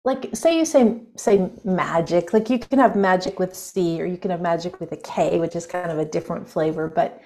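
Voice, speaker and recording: Female spiritual-teacher guest, lower register, meandering and reflective delivery. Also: moderate pace, hardly any pauses. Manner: musing, loose, with many fillers and soft laughs. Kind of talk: reflective interview conversation.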